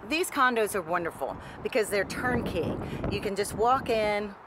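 A woman's voice: mid-range voice, expressive, moderate pace, noisy background. Upbeat woman realtor on the street. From New Orleans. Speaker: real estate agent. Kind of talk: interview answers. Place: outdoors on a city sidewalk.

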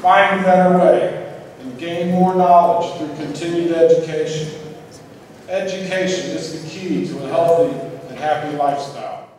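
An older man delivers an earnest commencement speech in a plain, straightforward way. His voice sits a little high and carries through a large hall with strong reverb. The podium mic picks him up from some distance, with a faint hiss underneath. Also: fairly even intonation, normal speed, darkish tone. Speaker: student speaker. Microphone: podium microphone.

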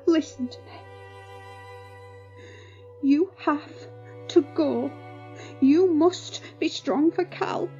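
A woman playing a desperate, loving, dying mother in an audio drama, crying and pleading haltingly in pain. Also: sits high, mellow, lo-fi sound, faint music behind. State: crying, in agony.

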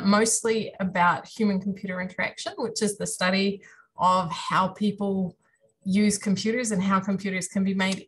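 A woman's voice: mid-range voice, low-quality recording. A woman in her thirties with a New Zealand accent gives a friendly, self-deprecating career talk to students over a video call from a room at home. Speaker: university lecturer giving a career talk. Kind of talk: online presentation to students. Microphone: webcam on a video call.

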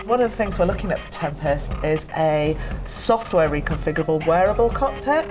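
Middle-aged woman explaining low and patient. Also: lively intonation, fairly quick. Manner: plain, patient explanation with light laughs. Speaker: engineer demonstrating a cockpit. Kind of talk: explaining a demonstration. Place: indoor lab with simulator. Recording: music bed underneath.

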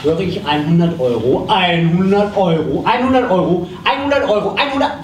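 A man playing a brisk auctioneer in a live comedy audio play, chanting bids and drawing out his calls. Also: high-pitched, expressive. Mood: theatrical, brisk. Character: brisk theatrical male auctioneer selling off a practice. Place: small theatre stage, indoors. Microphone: stage microphone, recorded by far camera. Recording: noisy, echoey, distant live stage recording.

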